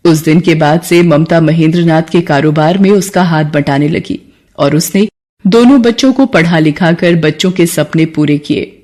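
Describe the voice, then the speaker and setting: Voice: medium pitch, moderate pace, a little mellow. A narrator reading a scripted cartoon folk story.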